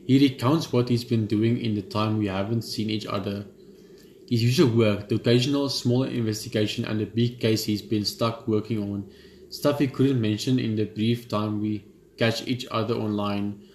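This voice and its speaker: A man's voice: on the low side, steady tone, moderate pace, a little mellow, roomy sound, a little hiss or hum. A man who hosts a gaming channel, reading a visual novel's text aloud and chatting between passages, casual and stumbling, with the odd offhand swear.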